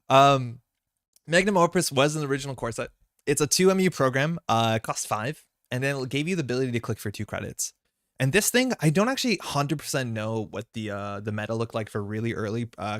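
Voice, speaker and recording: A man's voice: medium pitch, a bit bright, no room sound, studio quality. A man whose first language is Slovenian, hosting a live stream in loose, rambling casual chat with many fillers and restarts. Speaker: stream host. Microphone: desk mic.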